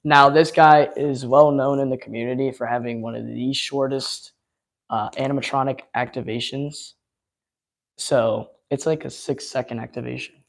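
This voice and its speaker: A man chatting loosely through a live unboxing, his voice going hoarse from hours of talk. He rambles along with plenty of ums and uhs in a mid-pitched, everyday tone. His enthusiasm carries through the fillers. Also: fairly even intonation, normal speed. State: losing his voice. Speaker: live-stream host. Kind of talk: casual live unboxing chat.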